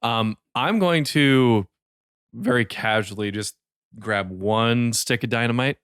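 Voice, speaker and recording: A man's voice: medium pitch, takes it slowly, fairly bright tone, smooth, dry recording, clean studio sound. A man chatting casually as a player in a tabletop role-playing game.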